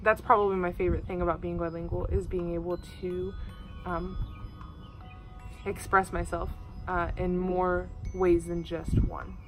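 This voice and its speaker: A woman's voice: on the low side, flat delivery, measured pace. A nineteen-year-old woman from Oklahoma in an interview, talking thoughtfully with frequent ums and uhs. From Oklahoma, USA. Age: teens. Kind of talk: casual recorded interview. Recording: music playing underneath.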